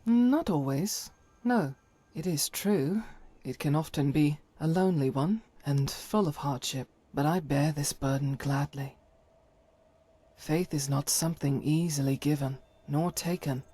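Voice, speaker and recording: A woman playing a devout, stern holy warrior in a video game, speaking in solemn, formal, measured phrases. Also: low voice, warm tone, slightly rough, no echo. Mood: reflective, earnest. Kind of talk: scripted video game character dialogue.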